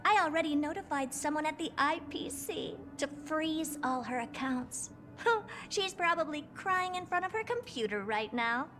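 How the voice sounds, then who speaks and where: A woman's voice: high voice, lively intonation, normal speed, fairly bright tone, soft background music. An actress playing a vain, vindictive genius lady in a video game, boastful, imperious and theatrical. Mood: gloating, vindictive.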